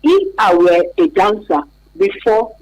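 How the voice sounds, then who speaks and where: A woman's voice: medium pitch, very animated, measured pace, darkish tone, no room sound, rough recording. A woman with a Nigerian accent, a therapist guest explaining things emphatically in a radio interview, repeating key words and checking that the listener follows.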